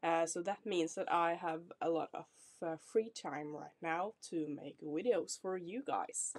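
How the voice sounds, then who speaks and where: Slow, low-voiced Norwegian woman vlogger. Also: a little mellow. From Norway. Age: 20s. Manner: chatty, apologetic, reassuring, laughs often. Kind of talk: casual self-introduction to camera. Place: small decorated room indoors.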